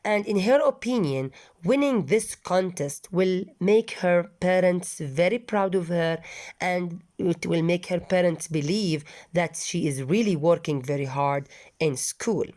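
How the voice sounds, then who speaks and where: A woman English teacher reading a story aloud to her students, pausing to explain words and restate ideas patiently. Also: lowish voice, darkish tone.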